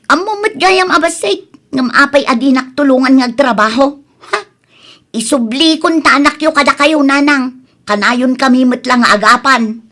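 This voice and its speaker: A woman's voice: high voice, moderate pace, fairly bright tone. A woman playing an emotional young woman caught in a love triangle, pleading and complaining in a melodramatic comedy drama. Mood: fed up. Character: young woman torn between two brothers, emotional, complaining.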